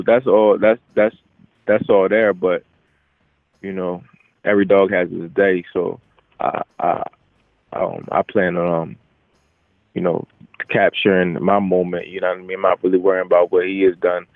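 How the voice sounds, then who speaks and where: Low-voiced young man on a phone line. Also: flat delivery, no echo. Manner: halting, repeats starts of phrases. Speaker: boxer answering reporters. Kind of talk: casual media interview answers. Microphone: telephone. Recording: narrow, tinny phone line.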